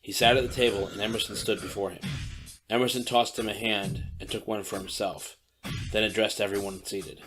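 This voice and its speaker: A man reading a dark audiobook story as narrator, in a steady descriptive manner. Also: on the low side, a little fast, low-quality recording. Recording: narration over loud music bed.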